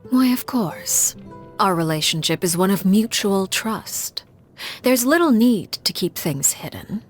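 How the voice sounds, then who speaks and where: An actress playing a composed, formal woman leader in game voice lines, speaking in a measured, quiet way. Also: mid-range voice, animated, soft background music, close to an everyday voice. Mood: calm, measured.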